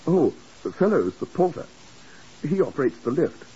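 An actor playing an anxious, dithering English hotel keeper in a 1950s radio drama, stammering and fussy. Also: male voice, on the high side, very animated, moderate pace, mellow, slightly rough, heavily acted. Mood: flustered. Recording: muffled, hissy 1950s radio recording.

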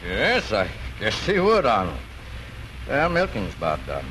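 A farmer father explains things in a deep, gentle, folksy voice that rises and falls widely. He is halting and patient, in a 1940s radio drama on a noisy old recording. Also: wildly swooping pitch, full character voice. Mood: gentle, hesitant.

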